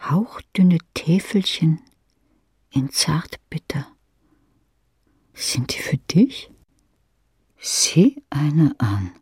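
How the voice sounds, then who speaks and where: Female audiobook narrator, husky voice, dramatic literary read, very dry recording. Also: deep voice, warm tone, dry recording. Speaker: audiobook reader. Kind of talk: scripted audiobook narration in second person.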